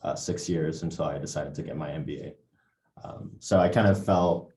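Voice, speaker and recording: A man's voice: extremely low pitch, normal speed, a little mellow, echoey room. A man speaking on a panel over a video call, with a hesitant manner full of restarts. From England. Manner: hesitant, many restarts and fillers. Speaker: MBA panelist. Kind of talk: casual panel discussion. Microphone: computer mic on a video call.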